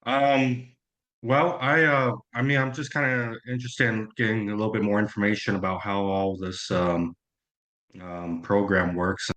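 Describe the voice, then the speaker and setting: A man's voice: on the low side, little pitch movement, moderate pace, fairly bright tone, rough recording. A man speaking in a reserved, hesitant way as a prospective customer on a call. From United States. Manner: reserved, held back, hesitant. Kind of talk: reserved phone-call conversation.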